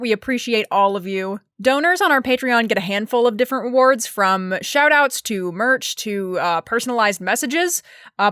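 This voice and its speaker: Woman, smooth clear voice, dry close sound, scripted podcast announcement. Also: on the high side, a bit bright, no room sound. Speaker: podcast writer and director.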